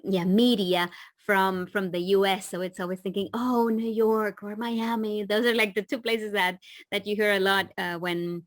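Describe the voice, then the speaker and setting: A woman in her forties from the Dominican Republic, a leadership coach speaking as a podcast guest with many halting restarts. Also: medium pitch, level tone, no room sound, studio quality. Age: 40s. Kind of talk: casual interview talk.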